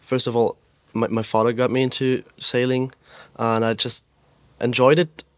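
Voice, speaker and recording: A young man from Austria, a guest in a podcast interview, talking in a relaxed way with lots of "like" and "um" and an easy laugh. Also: mid-range voice, no echo. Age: young adult. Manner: relaxed, fills pauses with "like" and "um", laughs easily. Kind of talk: casual interview chat. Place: pop-up store.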